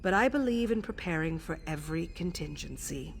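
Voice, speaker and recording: A composed mistress of assassins in a fantasy game. Her voice is low and measured, with dry wit and calm authority. Background noise sits behind her. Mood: dry, informative. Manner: measured, dryly wry, commanding. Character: composed sardonic female assassin guild superior briefing an initiate. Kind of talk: scripted video game quest dialogue.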